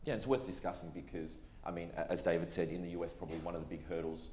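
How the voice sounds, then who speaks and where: A man speaking on a conference panel, measured and full of fillers and restarts, on a lapel mic in a large hall. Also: low voice, fairly even intonation, a little mellow. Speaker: panelist and moderator. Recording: echoey hall with faint noise.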